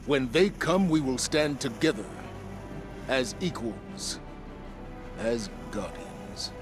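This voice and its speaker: Slow, solemn military commander. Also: male voice, mid-range voice, animated, music in the background. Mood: resolute. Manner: solemn, deliberate, oratorical. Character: grave, weary male military commander of a sci-fi city. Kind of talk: dramatic video game cutscene acting.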